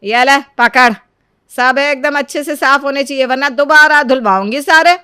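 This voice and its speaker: Woman playing a loud, domineering mother-in-law, high-pitched. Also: moderate pace, no room sound, studio quality. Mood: bossy, sarcastic. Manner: scolding, theatrical, switches to martyr-like fussing. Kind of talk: Hindi moral-story voice acting.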